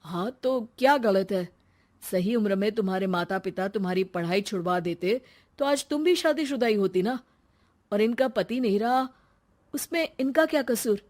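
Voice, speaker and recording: A woman voicing an earnest, protective college-age young man in an animated moral story. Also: mid-range voice, normal speed, natural voice. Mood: indignant.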